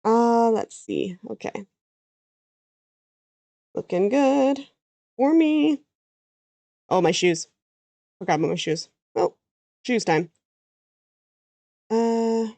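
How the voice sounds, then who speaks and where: A woman's voice: fairly high pitch, lively intonation, takes it slowly, long pauses, mellow, no room sound. Canadian woman, casual drawing stream, rambling chat, dry close sound. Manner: chatty, thinks aloud, trails off mid-sentence. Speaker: VTuber artist streaming her drawing.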